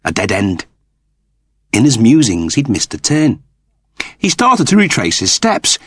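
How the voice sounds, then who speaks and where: Husky low-voiced male story narrator. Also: dry recording, studio quality. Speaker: audiobook narrator. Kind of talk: scripted dramatic story narration.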